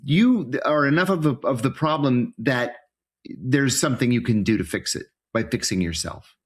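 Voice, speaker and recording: Husky middle-aged man, thoughtful interviewer. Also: medium pitch, animated, normal speed.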